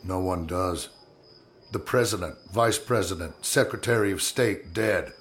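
An actor playing a stern, commanding 1860s Secretary of War in a historical audio drama, speaking with clipped, formal authority. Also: male voice, deep voice, measured pace. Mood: grave, controlled. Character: stern, commanding male Secretary of War in 1865, grimly resolute. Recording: dramatic music under the voice.

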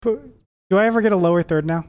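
Higher-pitched man in casual banter. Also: fairly quick, a little mellow.

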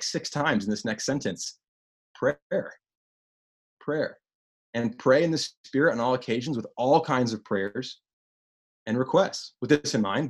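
A man with a deep voice preaching a sermon as pastor, animated and repeating phrases for emphasis. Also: husky.

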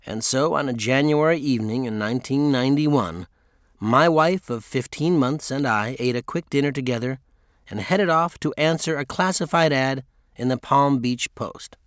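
A man's voice: medium pitch, normal speed, no room sound. Male audiobook narrator, dry sound. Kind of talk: scripted memoir narration.

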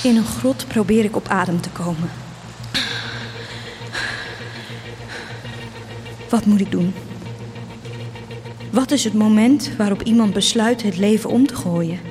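A woman's voice: mid-range voice, moderate pace, over music, natural voice. A woman playing a yearning teenage girl who tells her own story in a scripted audio drama. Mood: troubled, questioning. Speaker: narrator and voice actor of an audio drama. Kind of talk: scripted first-person narration, audio drama.

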